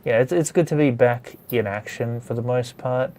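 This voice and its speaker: A man's voice: mid-range voice, moderate pace, dark tone. A man hosting a studio session in casual chat, talking in a hesitant, rambling way. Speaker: host of a comics drawing studio session.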